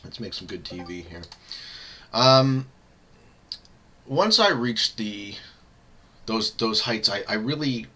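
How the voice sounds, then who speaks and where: A man hosting a casual gaming podcast, talking in a halting way with many filler sounds while he sets up an online game. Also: lowish voice, bright, forceful tone, a little hiss or hum. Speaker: podcast host.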